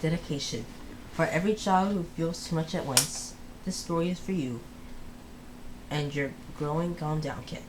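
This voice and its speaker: A person reading a story aloud for children as host, with a deliberate, halting delivery full of pauses. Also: mid-range voice, noisy background.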